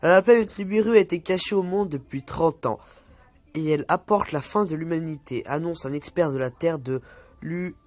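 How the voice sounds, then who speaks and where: A man's voice: fairly high pitch, normal speed, a little mellow. A young man vlogging casually, reading an article aloud and stumbling over words, with many fillers. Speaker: youtuber.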